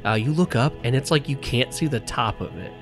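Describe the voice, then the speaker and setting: A man running a tabletop game as game master, describing scenes in a relaxed way with lots of fillers and chuckles. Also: mid-range voice, fairly quick, music in the background.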